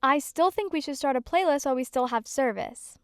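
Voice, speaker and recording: Woman playing a breezy, high-pitched young driver. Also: animated, brisk, no echo, clean studio sound. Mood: breezy, playful. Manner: breezy, playful, casual. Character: upbeat, easygoing young female driver on a road trip. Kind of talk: student audio drama acting.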